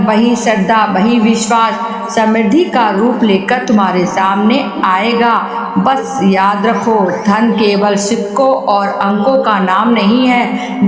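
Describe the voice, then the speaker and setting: Woman doing a calm solemn goddess, slow slow blessing talk, big echoey reverb. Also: fairly high pitch. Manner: solemn, measured blessing-like address. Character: benevolent goddess, solemn, reassuring female deity. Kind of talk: devotional message reading. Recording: heavy echo, long reverb, music behind.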